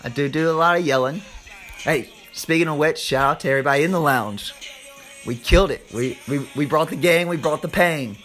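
A man with a Southern US accent and a slightly hoarse voice, hosting an informal sports podcast like a hyped showman, stretching words and repeating phrases. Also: on the high side, fairly quick, a bit bright, faint music behind. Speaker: podcast host.

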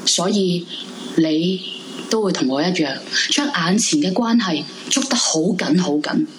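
Actress as low-voiced, brooding lonely woman, noisy recording. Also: animated, measured pace. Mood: melancholy, brooding. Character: lonely, weary, clinging heartbroken woman past twenty-five. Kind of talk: live horror radio drama, confessional monologue. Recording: noisy live room recording.

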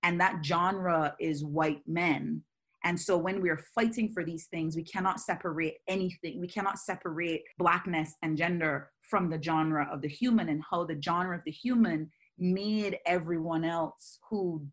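A woman from Barbados, speaking as a scholar guest in a conversational interview, forthright and animated as she works through long thoughts. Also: lowish voice, a little slow.

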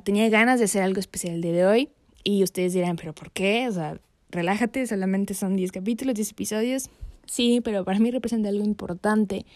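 A woman's voice: medium pitch, a little mellow. A young woman hosting a casual faith podcast, chatty and full of fillers. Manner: chatty, laughs often, many fillers. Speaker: podcast host.

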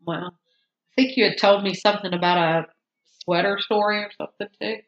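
A woman's voice: medium pitch, steady tone, fairly quick, reverberant, lo-fi sound. A congested woman co-hosting a podcast in casual chat, rambling and often cutting herself off. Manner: rambling, self-interrupting, laughs often. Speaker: podcast co-host.

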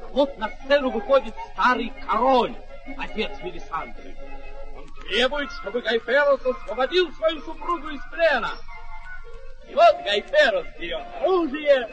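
An actor playing a boastful travelling puppeteer in a radio play, proclaiming ceremoniously like a fairground barker. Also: male voice, very high voice, lively intonation, normal speed, over music, poor audio. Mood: theatrical narrating. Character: showy, boastful male travelling puppet-show master. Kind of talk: mid-century radio theatre acting.

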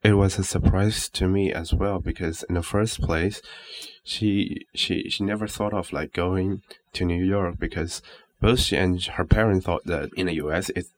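Deep-voiced young man, reflecting casually. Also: steady tone, normal speed, rough recording. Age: young adult. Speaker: interview guest.

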